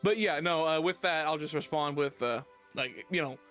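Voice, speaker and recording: A man at a gaming table explains what his character does, full of fillers and restarts. His voice is smooth and a bit high, with soft music behind him. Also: moderate pace. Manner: hesitant, filler-heavy. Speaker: player. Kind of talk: casual tabletop play.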